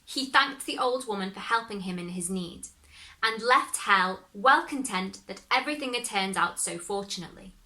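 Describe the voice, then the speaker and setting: Bright-voiced woman reading a fairy tale. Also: mid-range voice, lively intonation, moderate pace. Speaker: storyteller.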